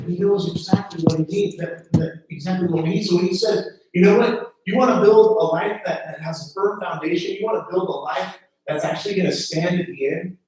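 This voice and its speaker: Man, pastor, earnest sermon delivery, stumbles and repeats openings; heard from afar, boomy reverberant room. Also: darkish tone. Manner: earnest, repeats words when starting phrases. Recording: very echoey room from a distance.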